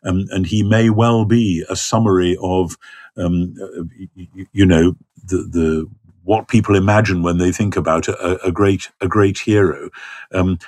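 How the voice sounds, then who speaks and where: An older man answers questions as a biblical scholar, thoughtful and halting, with many ums and restarts. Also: low voice, steady tone, darkish tone, no echo. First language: not Hebrew. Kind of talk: thoughtful conversational explanation.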